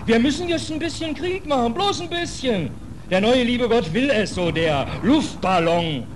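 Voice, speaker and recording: A man's voice: very high-pitched, animated, normal speed. A man playing an embittered, hungry sailor in a 1940s radio drama, brooding and colloquial. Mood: angry, bitter. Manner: colloquial, brooding, indignant, clipped working-class speech. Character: embittered, hungry stateless sailor on a death ship. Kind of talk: 1940s German radio play acting. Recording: noisy old 1940s radio recording.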